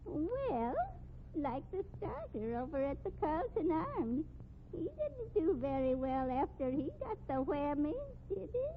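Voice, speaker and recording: An old-time radio flower woman, superstitious and grudging. Her high voice dawdles and wheedles in uneducated street speech. It comes through a narrow, vintage radio recording. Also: big pitch swings, measured pace. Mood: coy. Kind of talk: 1950s radio drama acting. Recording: band-limited old radio recording, faint noise.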